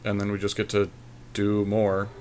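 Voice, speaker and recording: Low-pitched man talking through a card game. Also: completely flat delivery, normal speed, a little mellow, slight background noise. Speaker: podcast host and player. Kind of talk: casual co-commentary on a card game being played.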